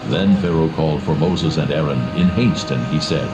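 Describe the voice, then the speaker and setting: A man's voice: very deep voice, normal speed, noisy background. A man giving a solemn, dramatised scripture narration. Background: prominent background music.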